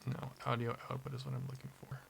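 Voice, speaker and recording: Bright-voiced man hosting a livestream. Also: medium pitch, monotone, quick pace, gravelly, a little hiss or hum. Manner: flustered, laughing, troubleshooting audio aloud. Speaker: charity livestream host. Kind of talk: casual livestream chat.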